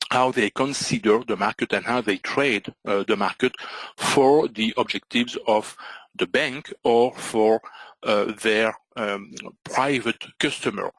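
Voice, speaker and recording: A man's voice: mid-range voice, fairly bright tone, dry recording, rough recording. Belgian man presenting, full of fillers. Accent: Belgian French. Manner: halting, many "uh" and "so" fillers. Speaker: trading instructor. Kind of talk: webinar presentation.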